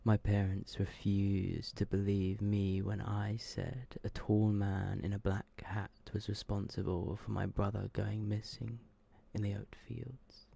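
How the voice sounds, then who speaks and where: Hushed, gravelly man reading ASMR horror. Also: lowish voice, monotone. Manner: hushed, slow and deliberate, often dropping into whisper. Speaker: ASMR video creator and host. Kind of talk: ASMR, soft-spoken reading of short horror stories.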